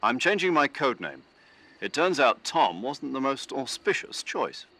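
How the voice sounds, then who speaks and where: An actor playing an authoritative male military commander in a video game, briefing an agent in a measured, firm, explanatory way. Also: mid-range voice, sing-song, bright, forceful tone, heavily acted. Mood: earnest, explanatory. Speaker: mission commander. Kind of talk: dramatic video game voice acting.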